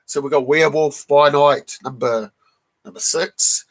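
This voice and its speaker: Guy with a bit of a high croaky cold voice doing a chill livestream, saying hi to chat, clearing his throat a lot. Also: a little slow, rough recording. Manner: greets chat viewers one by one, clears throat often. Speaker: live stream host showing comic books. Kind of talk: casual live stream chat.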